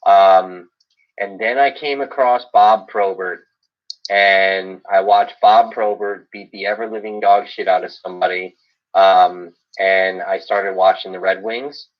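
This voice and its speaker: A man's voice: deep voice, fairly even intonation, a little slow. A middle-aged American man from Illinois chatting casually and emphatically, repeating phrases for weight. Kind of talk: casual chat. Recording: dull, band-limited stream audio.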